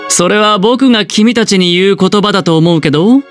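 A man voicing a suave, smug young gambler in a video game, with a smooth voice and a teasing, calculating, theatrically polite charm. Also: high voice, normal speed, soft background music, lightly acted. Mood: smug, challenging.

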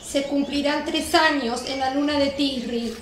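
Woman acting a high-voiced sorrowful young widow. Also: fairly even intonation, a little slow. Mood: sad, meek. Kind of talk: Spanish-language radio play acting. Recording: roomy echo, faint birdsong noise.

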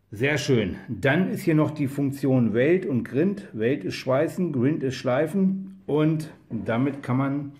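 A man's voice: mid-range voice, darkish tone. Man casually reviewing welding gear. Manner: casual, practical explaining with fillers. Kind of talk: product review voice-over.

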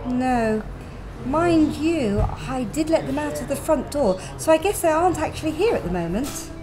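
A woman reading her own first-person story aloud in a gentle, wry manner. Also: sits high, animated, moderate pace, a crowd in the background, rough recording. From England. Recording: music playing behind.

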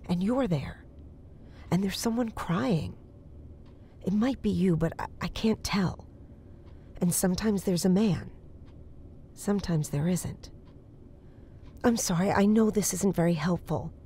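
A woman's voice: mid-range voice, warm tone, a little hiss or hum, lightly acted. An actress playing an earnest, uneasy woman psychic in a horror audio drama, speaking in a hesitant, naturalistic way. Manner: hesitant, halting. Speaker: voice actor.

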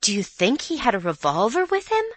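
A woman's voice: a little high, wildly swooping pitch, a little fast, no echo, pitched above the performer's own voice. A woman voicing a gossipy, indignant young working-class parlourmaid in an audiobook story.

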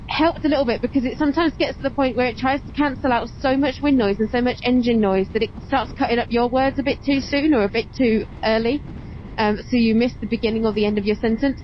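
A woman's voice: fairly high pitch, quick pace. A woman chatting casually and explaining things as she hosts a vlog, speaking through a helmet intercom mic while riding. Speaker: motovlog host. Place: on a motorbike on open roads. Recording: muffled helmet intercom mic with engine noise. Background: engine and road noise.

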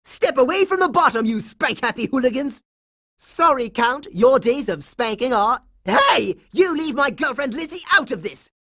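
A man's voice: very high-pitched, lively intonation, normal speed, a bit bright, exaggerated voice. A man voicing a bossy, heroic boy secret agent in a cartoon video game, dramatic and emphatic with a smug confidence. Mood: defiant, commanding.

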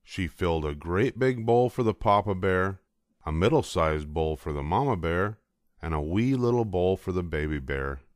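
Older man, very low voice, storybook narration, dry close sound. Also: animated, moderate pace, no echo. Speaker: storybook reader. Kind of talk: scripted storybook narration for children.

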